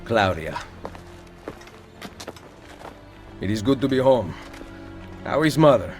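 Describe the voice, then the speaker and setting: An actor playing a confident, charming Renaissance nobleman assassin in a video game, speaking in a suave, measured Italian accent. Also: medium pitch, big pitch swings, faint music behind, lightly acted. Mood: warm, decisive. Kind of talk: video game cutscene acting.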